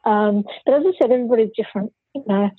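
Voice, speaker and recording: A forthright, outspoken woman recounts her own story as a podcast guest, her pitch sitting a little high. Her voice comes across husky. It sounds thin and phone-like, with a dry, close sound. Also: very fast, no echo. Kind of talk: personal storytelling in an interview.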